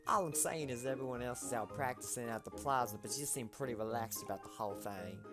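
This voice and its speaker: A man's voice: mid-range voice, fast talker, close to an everyday voice. A man voicing a friendly, boastful male knight student who rides birds in a video game. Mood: chatty, proud. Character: chatty, self-assured male bird-riding knight student. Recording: loud game music behind.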